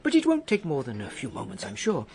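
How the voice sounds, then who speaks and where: An actor playing a discreet, politely insistent hotel manager in a radio drama, formal and apologetic with small hesitations. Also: male voice, mid-range voice, wildly swooping pitch, quick pace, creaky, darkish tone.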